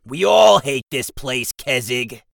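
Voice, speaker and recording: A man voicing a small, squat male goblin in a storybook reading, dry, sarcastic and irritable, with a high, raspy voice. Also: expressive, slow pace, no echo.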